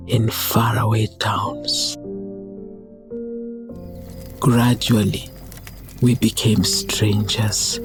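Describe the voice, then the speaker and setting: A wise old clan elder in a motion comic, speaking slowly with a gravelly creak. He is solemn and tender, a reflective storyteller, with music clearly behind him. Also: lowish voice. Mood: sorrowful. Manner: slow, reflective storytelling with breathy phrase endings. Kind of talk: dramatic narration in a motion comic.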